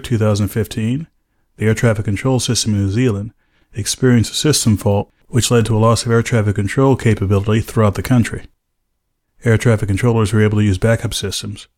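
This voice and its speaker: Low-voiced American man explaining carefully. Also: a little mellow. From United States. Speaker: aviation safety analyst and podcast host. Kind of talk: measured explanatory interview talk.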